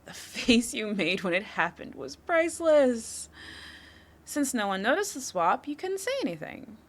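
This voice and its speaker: A woman's voice: on the high side, very animated, unhurried, exaggerated voice. A woman playing a confident, flirtatious criminal suspect in an audio roleplay, teasing the listener with a coy, playful delivery. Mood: sly, teasing.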